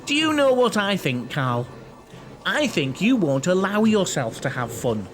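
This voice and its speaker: A man's voice: high-pitched, big pitch swings, a little slow. A man acting in an audio play as a chatty, tactless, cheeky eccentric who is blunt and guileless. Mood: breezy, teasing. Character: chatty, tactless, romance-loving eccentric man named Terry.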